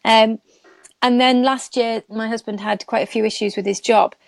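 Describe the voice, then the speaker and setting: A woman's voice: a little high, steady tone, normal speed, vocal fry, no room sound. Chatty woman, dry close sound. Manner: chatty, rambling, laughs often. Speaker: guest nail technician. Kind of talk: casual live chat.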